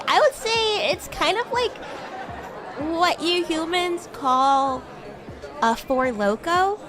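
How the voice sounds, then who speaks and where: A woman voicing a bratty young demon schoolgirl in a roleplay stream, teasing and stammering when flustered. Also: sits high. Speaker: VTuber streamer playing a character. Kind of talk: improvised roleplay livestream, chatting with viewers.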